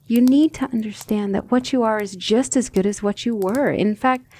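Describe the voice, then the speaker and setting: A woman playing a gentle but firm demon tutor in an ASMR roleplay, speaking in a soothing, measured and formal way. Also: a little high, close to an everyday voice. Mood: tender, soothing.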